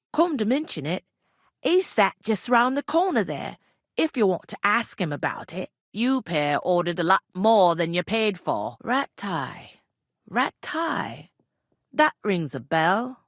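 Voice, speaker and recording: An actress playing a plain-spoken, bossy, world-weary village innkeeper in a medieval video game, with a rustic working-class English regional accent and a blunt, wry manner. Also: female voice, mid-range voice, very animated, unhurried, dry recording, studio quality. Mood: chatty, matter-of-fact. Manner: blunt, earthy, colloquial, wry. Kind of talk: video game character dialogue.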